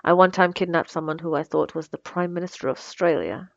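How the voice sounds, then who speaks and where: A woman's voice: lowish voice, brisk, creaky, no room sound, lo-fi sound. A woman playing a smug, sarcastic female supervillain who taunts her captive with theatrical condescension in an ASMR roleplay. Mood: smug, questioning. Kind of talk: ASMR roleplay audio drama.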